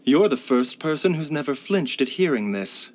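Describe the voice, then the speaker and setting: Lilting, higher-pitched gentle doctor played by a man. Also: expressive, natural voice. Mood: sly, pleased. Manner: measured, courteous, soft-spoken formal phrasing. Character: gentle, scholarly male herbalist doctor with frail health. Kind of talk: scripted video game character voice lines.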